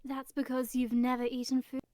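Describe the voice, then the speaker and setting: A woman's voice: sits high, monotone, brisk, darkish tone, no echo, clean studio sound, close to an everyday voice. A woman voicing a theatrical, gleeful and whiny anime youngster in a comic dub, with exclamatory delivery.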